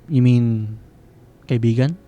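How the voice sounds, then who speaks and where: A man with a Filipino accent playing a hesitant, soft-spoken young man hopelessly in love with his best friend, in a radio drama. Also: mid-range voice, slow pace, no echo, muffled recording, lightly acted. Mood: hesitant, wistful.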